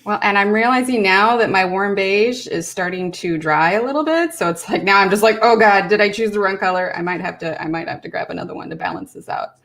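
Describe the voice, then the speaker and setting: A loud, bubbly woman colors along on a live stream from home. She chats freely, laughs a lot, and pokes fun at her own voice. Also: mid-range voice, fairly quick, hardly any pauses. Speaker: guest co-host on a coloring stream. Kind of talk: casual live-stream chat.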